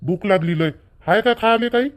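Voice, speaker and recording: A man's voice: high voice, brisk, slight background noise, natural voice. A man voicing a blunt, demanding young married son in an amateur village cartoon, with a Vidarbha Marathi accent. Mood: hungry, impatient.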